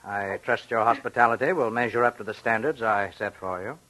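A sharp-voiced, mock-courteous menacing intruder. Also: male voice, on the low side, low-quality recording. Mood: mock-courteous menace. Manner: mock-courteous, formal, hesitant pauses with menace. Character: menacing, mannered, scarred male intruder posing as guest. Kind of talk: old-time radio suspense drama acting.

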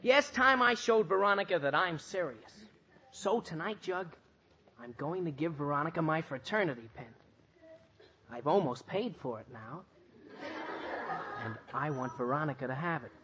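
A man's voice: fairly high pitch, lively intonation, normal speed, pauses often, bright, forceful tone. An actor playing an eager, lovestruck and melodramatic teenage boy in an old-time radio comedy. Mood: lovestruck, solemn. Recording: dull vintage radio recording.

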